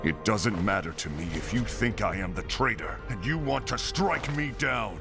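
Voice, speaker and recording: Deep-voiced, bitter proud warrior. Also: male voice, expressive, normal speed, creaky, over music. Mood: bitter, defiant. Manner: brooding, defiant. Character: proud, bitter male warrior who feels betrayed by comrades. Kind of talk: dramatic animated fantasy game acting.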